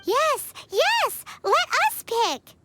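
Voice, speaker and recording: Tiny squeaky fairy sidekick. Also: female voice, very animated, drawn-out words, heavily acted. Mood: eager, excited. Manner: refers to herself in third person, exclaiming. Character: tiny childlike female floating fairy companion, cheeky and excitable. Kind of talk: video game character acting. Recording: game music with tinkling jingles behind.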